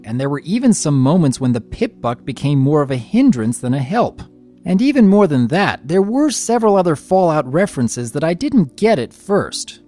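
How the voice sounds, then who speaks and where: Mellow, expressive man, fairly high voice. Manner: formal, courteous phrasing. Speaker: guest commentator. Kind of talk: scripted review commentary.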